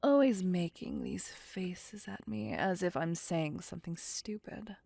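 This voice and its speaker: A woman playing a possessive, cocky vampire captor in an ASMR roleplay, with a breathy, husky, teasing and domineering whisper. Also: on the low side, a little mellow, no echo, full character voice. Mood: teasing, smug. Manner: slow, teasing, seductive, domineering. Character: possessive, cocky, sadistic female vampire captor, playfully menacing. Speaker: voice actor performing audio roleplay.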